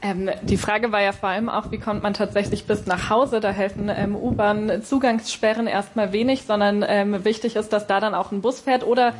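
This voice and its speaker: A woman answering in a political debate, pausing often on ums. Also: medium pitch, steady tone, a little hiss or hum. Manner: frequent ums. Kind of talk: debate statement.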